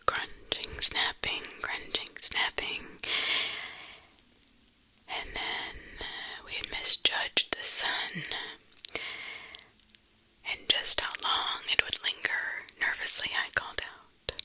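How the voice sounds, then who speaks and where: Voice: takes it slowly, pauses often, sharp tone, poor audio. A storyteller narrating a first-person horror story entirely in a whisper, ASMR style, sometimes repeating words for effect.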